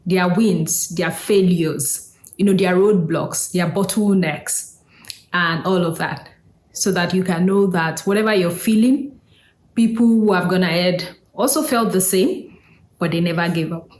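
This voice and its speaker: A woman's voice: fairly low pitch, unhurried, a little mellow, roomy sound. A woman from Nigeria, hosting a casual solo talk in a conversational way, with fillers and asides. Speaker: host.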